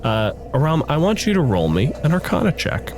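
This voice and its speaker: A man's voice: fairly high pitch, wildly swooping pitch, brisk, a little mellow, faint music behind. A man working as game master, narrating a tabletop game in a casual, conversational, explanatory way.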